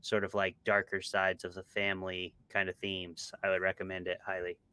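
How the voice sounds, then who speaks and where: A man's voice: low-pitched, monotone, moderate pace, sharp tone, clean tone. A man being interviewed as an author, talking in a casual, explanatory and conversational way. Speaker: interviewed author, podcast co-host.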